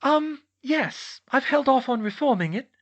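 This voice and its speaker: A man's voice: very high voice, animated, a little slow, dull audio, pitched above the performer's own voice. A man voicing a prim, scheming middle-aged woman official with falsely sweet, deferential politeness, as in an audiobook. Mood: sweet, compliant. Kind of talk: audiobook character voice.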